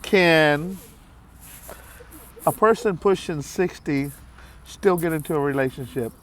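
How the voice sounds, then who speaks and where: Heartfelt man in his fifties, halting. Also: on the high side. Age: 50s. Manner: halting, heartfelt, repeats himself with many fillers. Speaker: vlogger. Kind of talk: candid talk to camera.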